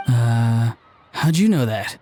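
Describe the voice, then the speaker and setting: A man's voice: mid-range voice, animated, slow, dark tone, soft background music. A male actor playing a laid-back, earnest young patrolman in a game, casual and plain-spoken. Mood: sheepish.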